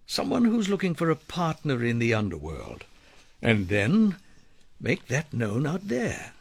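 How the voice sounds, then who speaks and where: A man plays a shrewd, genial crime-fighting boss in an audio drama. His voice is husky and creaky, swinging expressively as he deliberately lays things out, close and dry on the recording. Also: mid-range voice, huge pitch swings, no echo, full character voice. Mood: conspiratorial. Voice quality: gravelly, husky.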